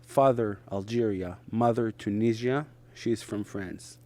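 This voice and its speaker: A middle-aged man from Israel, a native Hebrew speaker, talking in English as an interview guest in short, halting phrases with frequent restarts. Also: fairly low pitch, a little mellow, no room sound. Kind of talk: conversational interview.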